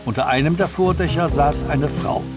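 A man's voice: fairly high pitch, normal speed. Male radio drama narrator over music.

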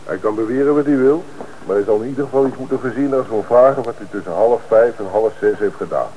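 An actor playing a practical detective who assists the commissioner, speaking in a matter-of-fact way in a radio drama. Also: male voice, mid-range voice, quick pace, dark tone, slight background noise, natural voice, low-quality recording.

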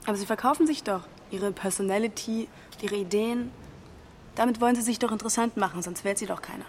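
A woman's voice: mid-range voice, fast, slight background noise, close to an everyday voice. An actress playing a cool, shrewd woman in her twenties in a radio drama, direct and challenging in natural conversation. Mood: self-assured. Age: 20s.

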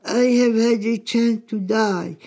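A woman's voice: on the high side, takes it slowly, darkish tone. A woman reading poetry in a radio drama, with music behind her. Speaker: author reading own poetry. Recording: radio production with music underneath.

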